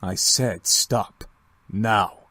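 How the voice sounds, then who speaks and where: Deep, slow, stern demon. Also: male voice, bright, forceful tone, exaggerated voice. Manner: firm, deliberate, formal. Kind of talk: visual novel game voice acting.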